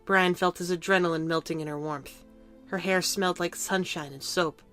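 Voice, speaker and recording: Lower-pitched female story narrator. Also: fairly even intonation, normal speed, fairly bright tone, soft background music. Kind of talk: scripted story narration for an audio drama.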